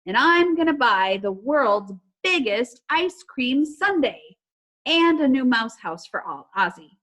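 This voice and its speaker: Woman voicing an excitable high-pitched storybook child. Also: full character voice. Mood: gleeful, greedy. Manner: exclaiming, drawn-out emphatic words. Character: excitable, greedy young treasure-hunting children.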